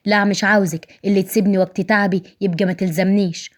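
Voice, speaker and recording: A woman's voice: medium pitch, steady tone, fast, close to an everyday voice. A woman voicing a proud, cold young husband in an audio story, curt and firm, with an Egyptian accent.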